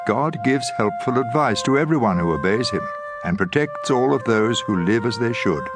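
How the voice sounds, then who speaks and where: A man reading scripture aloud in a solemn, measured, dramatized style. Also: mid-range voice, animated. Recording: music behind the voice.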